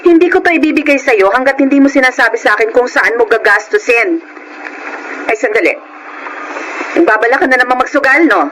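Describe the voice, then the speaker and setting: High-pitched, emotional Filipino wife. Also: female voice, brisk, pauses often, a little hiss or hum, rough recording. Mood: suspicious, firm. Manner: expressive, pleading. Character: distressed, long-suffering wife and mother, emotional and defiant. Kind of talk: Filipino radio-style melodrama acting.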